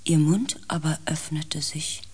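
A woman's voice: low voice, steady tone, measured pace, darkish tone, breathy edge. An actress playing a female space pilot, grave and intense, in a science fiction radio play. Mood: tense, haunted. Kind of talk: radio play acting, dramatic first-person narration.

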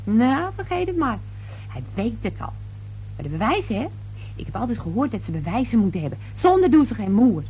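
An actress playing a gossipy, suspicious working-class household help in a radio play, coarse, chatty and easily indignant. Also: female voice, on the high side, big pitch swings, fairly quick, warm tone, slightly rough, full character voice. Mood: gossipy, knowing.

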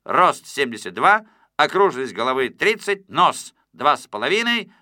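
A smug, officious police bureaucrat from an audio storybook, voiced by a man. He recites details with relish in a bright, lively voice. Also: on the high side, normal speed, no room sound, clean studio sound, heavily acted.

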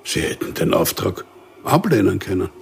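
An actor playing an unremarkable, quietly dangerous civil servant in a radio crime drama, speaking methodically with weighty pauses. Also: male voice, sits low, very animated, creaky, a little hiss or hum, heavily acted. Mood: dry, methodical. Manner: deliberate, enumerating, with weighty pauses.

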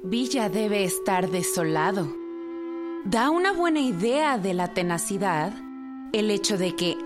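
A woman narrating a historical radio drama as a scripted storyteller, measured and solemn. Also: medium pitch, very animated, loud music behind the voice.

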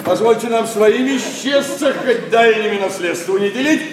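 An actor playing a fussy older gentleman in a classical verse comedy, effusive and ingratiating in a declamatory stage manner. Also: high-pitched, normal speed, a crowd in the background, close to an everyday voice. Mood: effusive, flattering. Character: fussy, fawning older gentleman, a matchmaking father. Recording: echoey, distant stage sound with noise.

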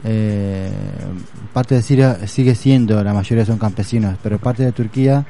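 A man's voice: on the low side, normal speed, warm tone, soft background music. A man speaking in a casual conversation, hesitant and searching for words, with many fillers. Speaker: guest.

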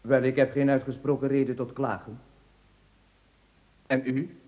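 An actor playing a pompous man who calls himself an expert, speaking with measured formality in a radio play. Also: mid-range voice, little pitch movement, mellow, natural voice. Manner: measured, self-important formality.

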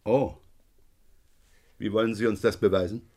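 An actor playing a shrewd, sardonic police inspector in a German radio crime drama, questioning in a pointed, wry way. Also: male voice, on the low side, steady tone, normal speed, warm tone. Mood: probing, wry.

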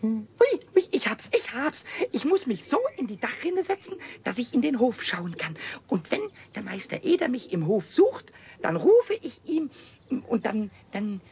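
A man voicing a cheeky, excitable little kobold in a children's radio play, squeaky and shrill, stammering and repeating words. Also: unhurried, creaky, slight background noise, full character voice. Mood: scheming, gleeful.

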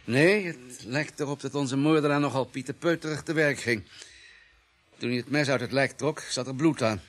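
Man playing male pathologist, coolly analytical, matter-of-fact, exact phrasing, mid-pitched, radio drama. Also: moderate pace, a bit bright, lightly acted. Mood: clinical, assured. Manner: precise, analytical.

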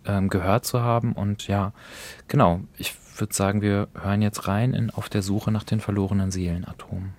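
Slightly low-voiced man co-hosting a podcast. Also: fairly even intonation, moderate pace, darkish tone. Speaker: podcast co-host. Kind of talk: conversational, reflective discussion of radio drama.

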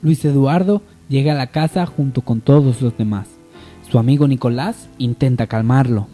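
A man's voice: medium pitch, moderate pace, dark tone, faint music behind. A man narrating a scripted amateur radio soap opera as its storyteller.

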